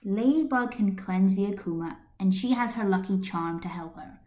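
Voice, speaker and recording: A woman's voice: mid-range voice, moderate pace, warm tone, close to an everyday voice. A woman voicing a reserved, uneasy teenage schoolboy in a subdued manner, in an amateur story reading. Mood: matter-of-fact, subdued.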